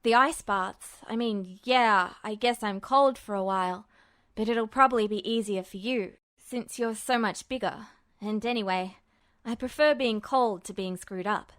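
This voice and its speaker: A woman voicing a proud, gruff, easily embarrassed young man in an audiobook story, blurting in brusque slang. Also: mid-range voice, unhurried, a bit bright, voice pushed down, natural voice. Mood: defensive, blurting.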